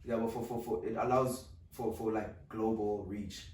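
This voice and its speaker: A man's voice: lowish voice, fairly even intonation, normal speed, darkish tone, reverberant, recorded from a distance. Rambling man, repeating his fillers. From South Africa. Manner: rambling, repeats "yeah, well" and "dog". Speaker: podcast co-host. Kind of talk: casual studio chat. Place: recording studio.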